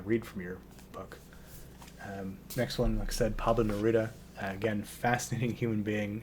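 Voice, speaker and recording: A man with a slightly hoarse voice, hosting a relaxed poetry reading and hesitating often with ums and restarts. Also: on the low side, a little hiss or hum. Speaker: poetry channel host. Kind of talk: casual intro and poetry reading.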